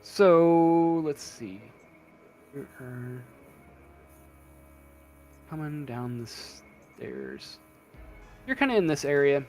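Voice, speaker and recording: A man running a tabletop game, narrating off the cuff and thinking aloud in a halting way. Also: a little high, big pitch swings, darkish tone. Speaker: game master. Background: faint music.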